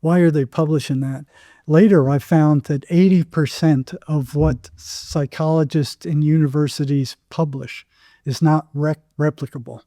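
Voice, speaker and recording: A man's voice: fairly high pitch, steady tone, a little slow, warm tone, no room sound, clean studio sound. An older American man telling anecdotes casually as an interview guest, acting out bits of dialogue as he goes.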